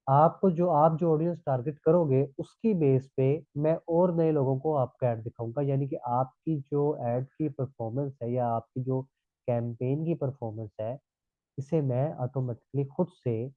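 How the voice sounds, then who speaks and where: A man's voice: mid-range voice, no echo. A man from Pakistan with a sore throat, teaching a live online class and checking in with students as he explains. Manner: explanatory, repeats "thik hai" checks. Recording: tinny phone-like line.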